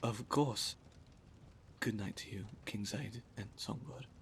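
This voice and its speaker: A humble, grateful villager, acted by a man, addresses royalty in a fairly low voice. His delivery is formal, earnest and deferential, in the manner of fantasy audio drama, with a faint rumble underneath. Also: slow, a bit bright.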